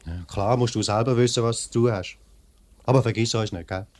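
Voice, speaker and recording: Guy acting humble swiss german factory worker, old radio play, talks fast, middling pitch. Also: fairly even intonation, a little mellow, close to an everyday voice. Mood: hopeful, pleading. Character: plain, loyal male factory worker.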